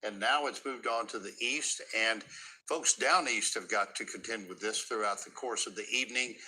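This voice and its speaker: A man's voice: on the low side, normal speed, sharp tone, slightly rough, low-quality recording. An American man anchoring live, unscripted breaking weather coverage from a TV studio. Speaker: news anchor. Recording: broadcast audio with faint noise.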